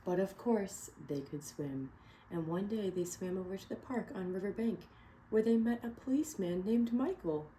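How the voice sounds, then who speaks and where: Woman teacher, picture-book read-aloud, encouraging, asks the class questions; mellow low tone. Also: normal speed, a little hiss or hum, poor audio. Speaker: teacher reading to children.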